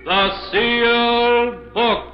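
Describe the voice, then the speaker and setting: Spooky old-time radio horror narrator man, high pitched for a guy, solemn and drawn out, muffled 1940s sound. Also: fairly even intonation, loud music behind the voice, exaggerated voice. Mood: ominous. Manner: slow, solemn, portentous delivery. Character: ominous storyteller of a radio terror anthology.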